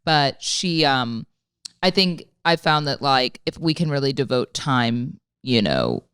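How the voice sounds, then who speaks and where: A woman's voice: extremely low pitch, big pitch swings, takes it slowly, fairly bright tone, clean tone, no room sound, clean studio sound. A woman hosting a podcast in an office, chatting casually with lots of likes and you-knows. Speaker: podcast host. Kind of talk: casual chat.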